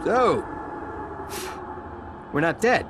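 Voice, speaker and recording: An actor playing a dry, quick-witted superhero in a video game cutscene. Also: male voice, sits high, sing-song, background noise, full character voice. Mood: wry. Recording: music behind the voice.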